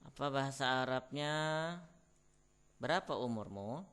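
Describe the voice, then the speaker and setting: A man's voice: medium pitch, fairly bright tone, smooth. Man reading drill prompts for a class. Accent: Indonesian. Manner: reads short Indonesian phrases as prompts, with pauses for answers. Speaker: Arabic tutor.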